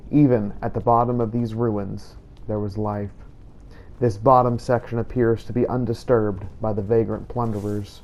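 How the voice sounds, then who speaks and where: A man narrating a fantasy game adventure as a scripted story, in a measured, solemn manner. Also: lowish voice, flat delivery, a little mellow, a little hiss or hum. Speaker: narrator of a game roleplay playthrough.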